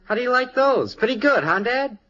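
An American actor playing an eager, brash teenage son in a 1950s radio sitcom, enthusiastic and slangy. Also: male voice, very high voice, animated, fairly quick, sharp tone, muffled recording, exaggerated voice. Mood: proud salesmanship.

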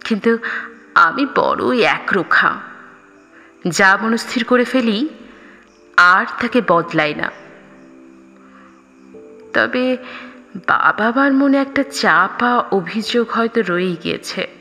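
Woman, audio story narrator, unhurried delivery, expressive, dramatic pauses, reverberant sound over soft music. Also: mid-range voice. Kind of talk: scripted first-person story narration. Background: background music.

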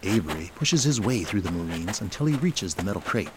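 Low-pitched male drama narrator. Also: sing-song, a little fast, very noisy. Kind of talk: scripted audio drama narration. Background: prominent music.

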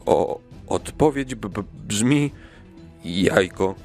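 A man's voice: fairly low pitch, animated, extremely slow, a little mellow, soft background music, heavily acted. A man playing a polite, nervous king on a quest, hesitant and stammering, in a children's audio play. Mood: cautious, hesitant.

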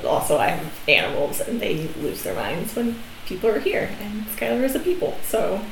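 A woman co-hosting a podcast from her dining room, teasing and answering in short lively bits. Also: medium pitch, normal speed, gravelly. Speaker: podcast co-host. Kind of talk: casual chat. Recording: echoey room with faint hiss.

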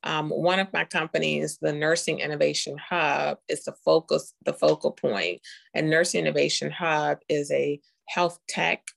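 A woman's voice: deep voice, fairly even intonation, normal speed, vocal fry. A woman from North Carolina, born in Costa Rica, explaining her work as an interview guest and often checking in with "right?". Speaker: interview guest, nurse informaticist and health tech founder.

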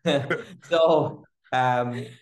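A man telling a lively personal story as a guest in a casual interview, often laughing as he speaks. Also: mid-range voice, drawn-out words. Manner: animated, laughing, restarts while telling a story. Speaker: guest, groom-to-be. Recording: roomy echo.

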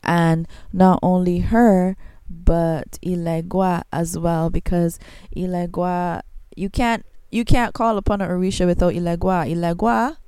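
A woman's voice: fairly low pitch, creaky, mellow, no room sound. A woman from Barbados with a Barbadian accent, hosting her own podcast and telling a personal story in an earnest, reflective way. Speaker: podcast host. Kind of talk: solo podcast monologue.

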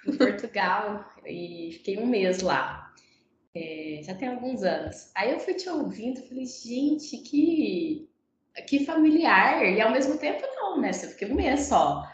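A woman's voice: on the high side, big pitch swings, a little slow, dull audio, slight background noise. A Brazilian woman hosting a podcast, presenting and interviewing guests. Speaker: podcast host. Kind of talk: scripted introduction and interview. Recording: roomy echo, music behind the voice. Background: music.

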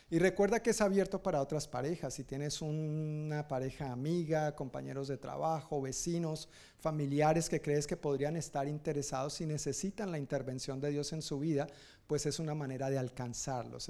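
Casting: man, pastor addressing a congregation, higher-than-average mellow voice, loose humorous delivery with self-corrections. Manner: informal, jokey, self-correcting. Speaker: pastor leading announcements and introducing the speaker. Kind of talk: church announcements to a congregation.

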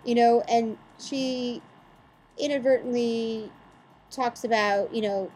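A woman's voice: medium pitch, mellow, rough recording. A woman co-host who talks casually and argues her case with rhetorical questions. Her voice sits in the middle of the range. A faint background hum runs under her speech. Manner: opinionated, builds arguments with rhetorical questions. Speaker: podcast co-host. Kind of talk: casual chat.

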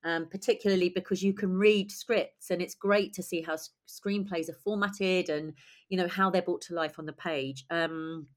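Woman w a husky voice + a cold, lowish, chatty and lively, guest on an interview. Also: normal speed. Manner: chatty, lively, laughs often. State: has a cold. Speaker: guest writer and director. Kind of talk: conversational interview.

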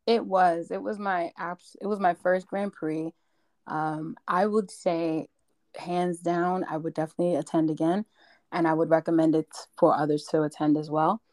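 A woman from New York, a podcast guest, chatting in a raspy, strained voice that is hoarse from yelling, quick to break off and correct herself. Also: on the low side, flat delivery, no echo. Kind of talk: casual podcast interview.

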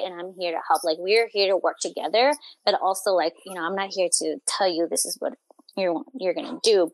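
A woman's voice: on the low side, no room sound, rough recording. A young adult woman talking casually as an interview guest, in an informal, hesitant, meandering and self-reflective way. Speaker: family therapist, interview guest.

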